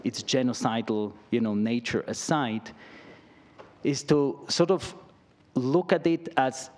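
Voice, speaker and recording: A man's voice: medium pitch, lively intonation, slow, a little mellow. A middle-aged man giving an academic lecture and answering questions, talking loosely with lots of "right?" and "I mean". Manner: conversational, peppered with "right?" and "I mean".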